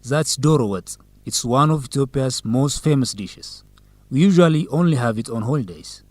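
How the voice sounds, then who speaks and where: A man acts out an eager, friendly young man in a radio drama made for learners. His pitch sits in the middle range. The sound is dry, with very little room. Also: animated, normal speed, a little mellow, dry recording, close to an everyday voice. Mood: friendly, explaining. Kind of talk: educational radio drama acting.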